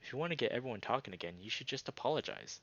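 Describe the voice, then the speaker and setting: A man's voice: mid-range voice, expressive, racing, a bit bright, dull audio. A man voicing an earnest young man in a visual novel, reasoning hesitantly, conciliatory but reproachful. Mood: earnest, placating. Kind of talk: visual novel character read-aloud.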